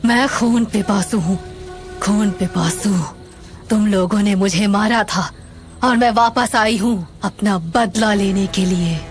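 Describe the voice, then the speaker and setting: A woman voicing a bloodthirsty, vengeful female witch spirit with a menacing, commanding manner in an animated horror story. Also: medium pitch, takes it slowly, faint music behind, lightly acted.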